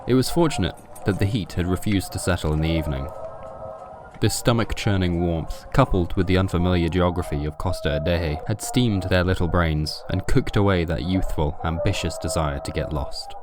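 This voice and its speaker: A man narrates a scripted horror story as a podcast host, in measured, literary storytelling. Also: low voice. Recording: music bed under the voice. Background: music.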